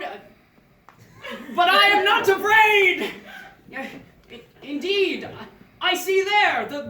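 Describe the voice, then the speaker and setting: High-voiced stammering condemned man. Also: bright, forceful tone, heavily acted. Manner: stammering, halting, mock-archaic bluster. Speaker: stage actor. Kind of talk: theatrical comic monologue. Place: indoor theatre stage, recorded from afar. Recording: roomy distant stage recording, some noise.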